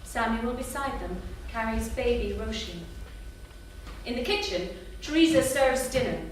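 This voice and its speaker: A woman's voice: on the high side, expressive, a little slow. A woman reading out stage directions as scripted narration at a staged reading. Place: theatre. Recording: very echoey, noisy hall from far off.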